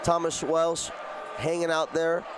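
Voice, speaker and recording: A man's voice: on the high side, flat delivery, a little slow. Male basketball color commentator, noisy arena. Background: arena noise, bouncing basketballs.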